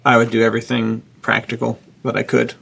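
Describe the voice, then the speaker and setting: A man co-hosting a podcast, chatting casually and enthusiastically in slangy language with a husky, gravelly voice. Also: mid-range voice, completely flat delivery, a little fast, a bit bright, rough recording. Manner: enthusiastic, slangy, says dude. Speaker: podcast co-host and publisher.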